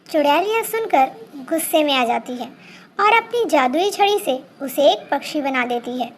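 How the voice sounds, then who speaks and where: A woman's voice: sits high, expressive, moderate pace, slight background noise. A woman reading a scripted fairy tale for children as a storyteller.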